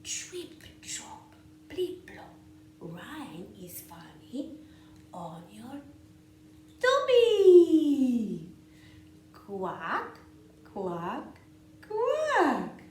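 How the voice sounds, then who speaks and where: A woman voicing an excitable little duckling from a picture book, in a childlike, sing-song read-aloud. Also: sits high, very slow, pauses often, heavily acted. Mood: playful. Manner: exaggerated, childlike, swooping delivery. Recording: roomy echo, faint background noise.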